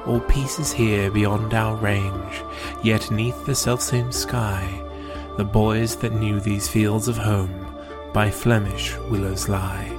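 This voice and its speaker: Slow, low-voiced man reciting. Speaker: poetry podcast reader. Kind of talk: slow, measured poetry recitation and scripted narration. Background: prominent calm new-age music.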